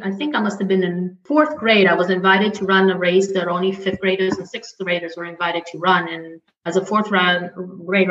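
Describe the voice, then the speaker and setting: A woman from Greece speaks as a podcast guest in a mid-pitched voice. She tells personal stories conversationally. The recording is roomy and somewhat lo-fi. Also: a bit bright. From Athens, Greece. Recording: roomy, dull lo-fi sound.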